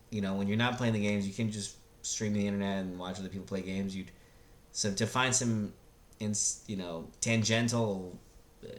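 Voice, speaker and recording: A man in his thirties hosting a casual, rambling review in a halting, searching way, with laid-back slangy enthusiasm. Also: lowish voice. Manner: hesitant, halting, searching for words. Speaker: video host reviewing films. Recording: roomy, echoey sound.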